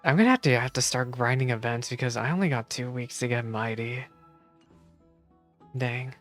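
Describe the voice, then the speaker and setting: A live streamer reacts to a game in loose, casual chatter. The voice sits at a middle pitch and rambles as it thinks aloud, with faint game music behind it. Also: moderate pace, a bit bright.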